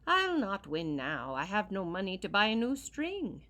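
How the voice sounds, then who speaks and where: A woman's voice: medium pitch, huge pitch swings, normal speed, fairly bright tone. Woman voicing a kind old harper. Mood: earnest.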